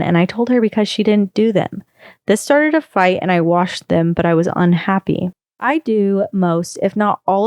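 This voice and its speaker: Female podcast reader, low and smooth, steady measured storytelling delivery. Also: no room sound, clean studio sound. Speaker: podcast co-host reading a story. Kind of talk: reading a first-person online post aloud.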